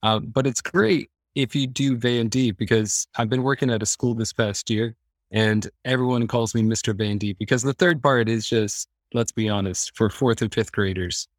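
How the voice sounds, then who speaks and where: A man with a Midwestern American accent talks as a podcast guest at a medium pitch. He is easygoing and jokey, sharing personal stories casually, recorded dry with little room sound. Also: steady tone, normal speed, dry recording. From Iowa, American Midwest. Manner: easygoing, joking. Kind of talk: casual chat, personal storytelling.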